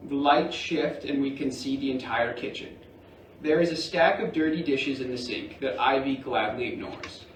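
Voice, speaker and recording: A man with a medium-pitched voice narrates stage directions for a play reading. He is recorded at a distance in a reverberant room with a faint layer of noise. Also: moderate pace.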